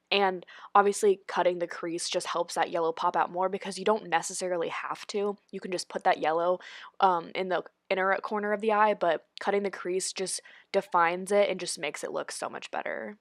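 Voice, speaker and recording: A young woman talks through a makeup tutorial in a loose, chatty voice-over, leaning on "like" and "honestly". She sounds stuffy and congested from a cold, and her voice sits a little low. The recording is dry and close, with no room sound. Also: dry recording. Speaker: beauty vlogger. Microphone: voice-over recorded separately.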